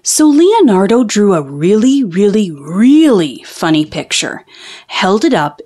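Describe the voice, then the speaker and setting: A woman narrates a children's storybook aloud. She takes it very slowly and deliberately, with a lively, widely varied melody. Her reading is expressive throughout. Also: medium pitch. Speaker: children's book reader.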